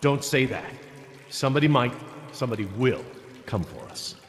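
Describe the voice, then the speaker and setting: An actor playing a decent, anxious middle-aged father in a video game, halting and alarmed. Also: medium pitch, animated, natural voice. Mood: shaken. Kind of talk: dramatic video game acting. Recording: long hall reverb.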